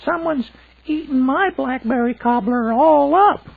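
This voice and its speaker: Very squeaky, whiny baby bear. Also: male voice, measured pace, gravelly, dry recording, full character voice. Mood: upset. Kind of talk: storybook character voice. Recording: phone-like, narrow band sound.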